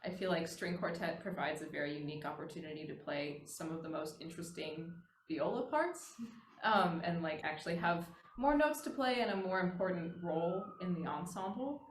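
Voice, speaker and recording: A woman's voice: lowish voice, animated, a little fast. A young adult woman with an American accent, answering interview questions conversationally as a music student. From Wisconsin, United States. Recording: echoey room, clear background noise.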